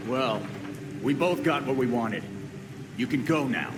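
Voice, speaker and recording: An actor playing a stern, suspicious vigilante in a video game, terse and challenging. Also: male voice, medium pitch, measured pace, close to an everyday voice. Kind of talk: video game cutscene acting. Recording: clear vehicle-like ambient noise.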